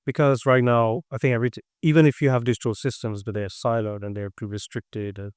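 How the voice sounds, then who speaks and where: A man's voice: mid-range voice, steady tone, fast talker, darkish tone, smooth, no echo, studio quality. A man discussing his industry as a tech consultant, emphatic and often repeating words mid-sentence.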